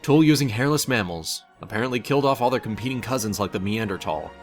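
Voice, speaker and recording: A man's voice: fairly low pitch, lively intonation, fast. Male story narrator over faint music. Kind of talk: scripted story narration in second person.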